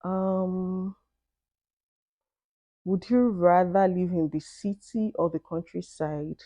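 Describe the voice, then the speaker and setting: Nigerian woman, low mellow voice. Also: fairly even intonation, takes it slowly, no echo, lo-fi sound. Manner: thoughtful, conversational, with "I mean" asides. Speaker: vlogger. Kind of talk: Q&A vlog, reading questions and answering.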